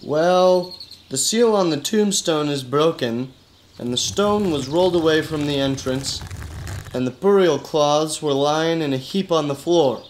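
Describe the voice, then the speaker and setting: An actor voicing a skeptical, hard-boiled private eye with dry, deadpan delivery in a noir detective radio play. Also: male voice, a little high, measured pace, slight background noise, close to an everyday voice, low-quality recording. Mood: dry, probing. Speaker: detective and narrator.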